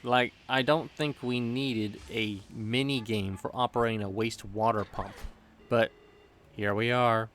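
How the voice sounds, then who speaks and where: A man's voice: medium pitch, fairly even intonation, unhurried, a bit bright, slight background noise. A man narrating a scripted video game review with playful, joking asides. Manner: playful, jokey. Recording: background music under voice. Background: music.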